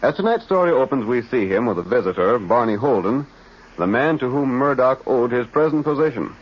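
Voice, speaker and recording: A man's voice: medium pitch, expressive, fairly quick, breathy edge. A male announcer narrating in a stirring, declamatory 1940s radio drama style. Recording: muffled old radio recording.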